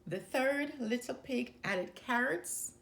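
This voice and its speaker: A woman reading a children's story aloud as an expressive storyteller. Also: sits high, slow, gravelly.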